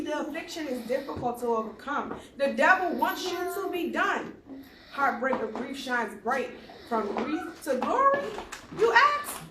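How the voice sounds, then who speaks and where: A woman giving an emphatic, declamatory spoken-word poetry reading with deliberate pauses. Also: high voice. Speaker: poet reciting own poem. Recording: roomy echo, distant mic, faint noise.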